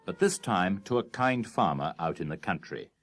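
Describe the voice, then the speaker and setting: A man narrates a scripted story summary in a low, animated voice. Music plays clearly behind him. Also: moderate pace.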